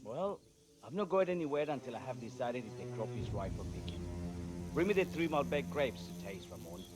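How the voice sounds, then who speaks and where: Game role: male actor as self-important, grumpy winemaker. Very animated intonation, mid pitch, over music. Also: brisk, natural voice. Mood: exasperated, haughty. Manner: pompous, exasperated. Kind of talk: video game cutscene acting. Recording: music behind the voice.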